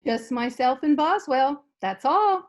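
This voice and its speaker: A woman's voice: high voice, expressive, slow. A woman playing a polite, genteel dog-loving lady in a radio comedy, prim and earnest. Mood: genteel, fond. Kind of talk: old-time radio comedy acting.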